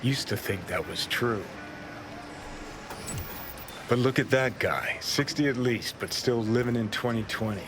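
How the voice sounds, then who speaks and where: A man playing a cynical game rockstar with a sardonic drawl. He is bitter, mocking and rebellious, speaking at a middle pitch over prominent music. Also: measured pace, long pauses, close to an everyday voice. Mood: bitter, preaching. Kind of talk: cinematic game acting.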